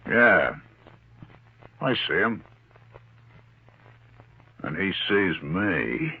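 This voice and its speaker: A muffled old radio recording of a tough racketeer. His voice is husky and swings widely in pitch, blunt and gangster-like in classic crime drama style. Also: very animated, drawn-out words, exaggerated voice. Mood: wary, defeated. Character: tough, defiant male racketeer crime boss under pressure.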